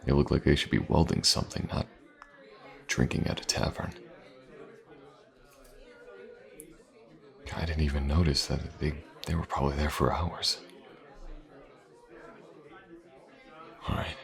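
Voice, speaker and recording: An actor playing a gruff, wary, self-assured mercenary swordsman in a fantasy audio roleplay, speaking in a dry, terse way. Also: rumbling low, level tone, brisk. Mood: watchful.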